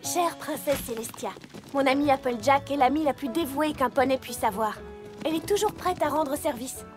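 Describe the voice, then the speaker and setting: An earnest, caring young female pony from a cartoon played by a woman. The high voice speaks articulately, like a studious pupil explaining things. Music sits behind the words. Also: moderate pace, natural voice. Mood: warm, reflective. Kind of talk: animated cartoon dub acting.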